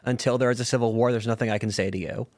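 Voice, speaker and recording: A man's voice: medium pitch, fairly even intonation, rapid-fire, a little mellow, no echo, studio quality. A man arguing his point firmly as a guest on a podcast panel. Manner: assertive, argumentative.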